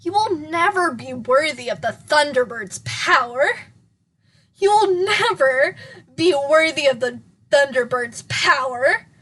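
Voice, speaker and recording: A woman voicing a hot-tempered female dragon warrior in an audio drama, forceful and scornful. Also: sits high, animated, slow, heavily acted, poor audio. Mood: threatening, scornful.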